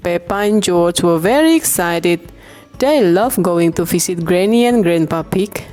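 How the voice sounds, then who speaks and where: A woman reading a children's bedtime storybook aloud in an expressive, deliberate way. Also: fairly low pitch, soft background music. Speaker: storybook reader.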